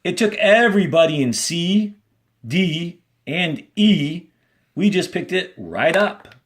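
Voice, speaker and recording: A man's voice: high voice, animated, takes it slowly, pitched above the performer's own voice. A man voicing eager, slangy teenage camp boys in a storybook, in a casual, punchy, friendly way. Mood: excited, friendly.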